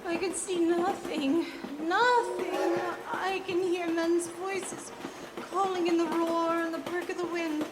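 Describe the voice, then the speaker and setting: A woman's voice: extremely high pitch, steady tone, takes it slowly. A woman playing a haunted, entranced young lady in a gothic radio drama, intense and theatrical. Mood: entranced, fearful. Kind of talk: live radio drama acting. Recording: echoey stage hall, clear background noise.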